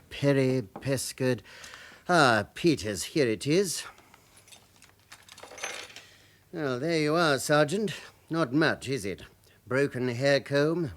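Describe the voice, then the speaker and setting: A hospital doctor in a radio drama, formal and exact. His mid-range voice rises and falls freely while he stays careful and measured. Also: mid-range voice, a little hiss or hum. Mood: businesslike.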